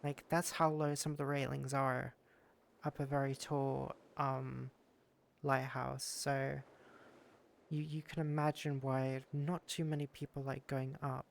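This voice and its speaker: A man's voice: mid-range voice, little pitch movement, a little slow, creaky. A man streaming a casual game session, rambling and thanking viewers, sounding stuffy from a cold. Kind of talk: casual streaming chat while exploring a game world.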